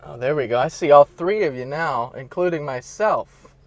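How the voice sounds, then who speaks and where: High-voiced man hosting a casual podcast. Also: expressive, moderate pace, dry recording. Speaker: podcast host. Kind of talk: casual chat. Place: remote video call.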